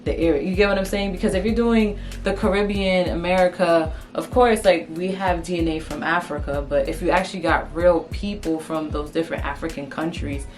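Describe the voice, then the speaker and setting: A woman's voice: fairly low pitch, steady tone, brisk, few pauses, a little mellow. A woman from Antigua and Montserrat co-hosting a casual conversation, earnest and repeating her point. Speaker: co-host. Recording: faint music underneath.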